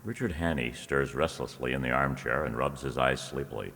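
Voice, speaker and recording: Man as extremely deep-voiced radio announcer. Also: fairly quick, a bit bright. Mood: formal. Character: formal radio announcer narrating a mystery play. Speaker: announcer and narrator. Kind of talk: old-time radio drama narration. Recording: echoey hall, long reverb.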